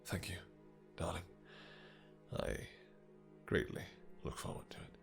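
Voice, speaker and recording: A man playing a possessive, lovestruck vampire king in a romantic fantasy audio roleplay, speaking in formal courtly phrases with halting pauses. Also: soft background music, lightly acted. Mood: tender, suggestive.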